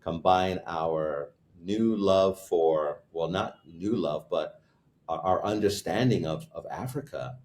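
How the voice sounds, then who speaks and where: A man with a very deep voice recounts how his business began, in a loose, conversational way. He searches for words and corrects himself as he goes. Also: slow, a little mellow. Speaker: guest, wine retreat co-host. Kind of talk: casual chat, storytelling.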